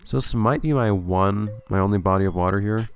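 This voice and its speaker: A man's voice: on the low side, brisk. A man commentating a gameplay video casually, thinking aloud with a slightly nasal, stuffy voice. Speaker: let's play host.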